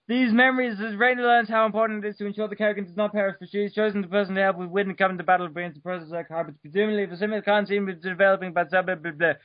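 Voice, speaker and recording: A man's voice: high voice, dry recording, dull audio. A man giving a mock-dramatic reading of an article, theatrical and stumbling over names with blah blah. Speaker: reader.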